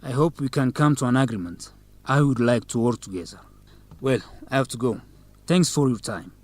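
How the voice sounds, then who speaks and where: A man's voice: mid-range voice, lively intonation, normal speed, a little mellow, close to an everyday voice. A man playing an earnest, courteous young student leader in an English-learning radio drama. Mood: polite, businesslike. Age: young adult.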